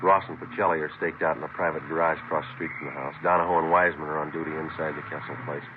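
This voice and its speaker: An American man playing a terse, businesslike detective sergeant in a 1950s radio crime drama, deadpan and clipped, on a noisy vintage recording. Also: a bass voice, racing, narrow, tinny audio. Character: terse, businesslike male police detective sergeant narrating his case.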